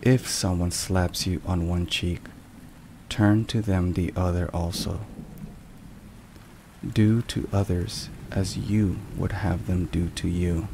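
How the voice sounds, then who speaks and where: Slow, low-voiced man in hushed ASMR narration. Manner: measured, deliberate, hushed. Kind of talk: whispered ASMR, scripted devotional narration. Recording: steady rain and thunder noise. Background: rain and thunderstorm sounds.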